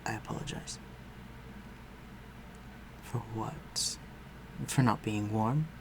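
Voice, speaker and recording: Over a clearly audible bed of ambient noise, a man acts out a courtly vampire lord in an audio drama. His voice sits low, and he speaks in formal, old-fashioned phrases with a gentle, protective care for the human he addresses. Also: slow pace. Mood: gentle, attentive. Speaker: voice actor. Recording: clearly noisy, ambient sound behind voice.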